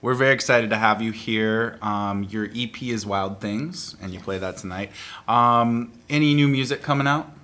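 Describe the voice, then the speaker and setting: A man hosting a radio interview in a recording studio, relaxed and encouraging with frequent affirmations. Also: on the low side, moderate pace, a little hiss or hum. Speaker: radio show host. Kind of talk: casual interview.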